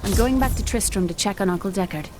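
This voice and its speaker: A woman's voice: mid-range voice, fast, music in the background, close to an everyday voice, rough recording. An actress playing an earnest, worried young woman with hidden powers.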